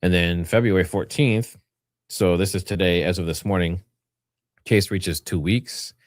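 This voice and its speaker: A man's voice: low-pitched, steady tone, measured pace, no room sound, clean studio sound. A man hosting a livestream, giving informal, rambling commentary and partly reading from notes. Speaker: livestream host.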